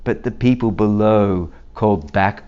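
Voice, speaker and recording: A man's voice: lowish voice, a little slow, mellow, smooth, highs cut off. A man reading a children's storybook aloud in a theatrical, playful manner. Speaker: storybook reader.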